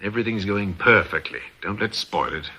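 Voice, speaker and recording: A methodical gang boss with a low, sharply forceful voice and a husky edge. He speaks in firm, measured phrases, an embittered planner from a radio crime play. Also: full character voice. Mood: calm, firm. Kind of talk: British radio drama acting.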